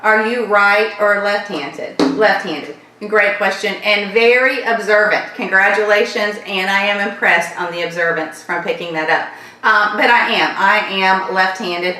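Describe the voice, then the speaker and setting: A woman from Florida with a light Southern accent, hosting a casual viewer Q&A vlog and rambling warmly through her answers. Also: mid-range voice, far from the mic. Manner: chatty, repeats thanks, loose rambling sentences. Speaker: channel host answering viewer questions. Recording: echoey room, voice a bit off-mic.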